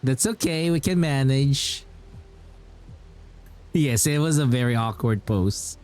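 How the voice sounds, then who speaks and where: A man's voice: mid-range voice, lively intonation, takes it slowly, darkish tone. A man streaming a video game, giving casual, informal commentary on his play. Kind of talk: casual live gameplay commentary. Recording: faint game music behind. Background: game music.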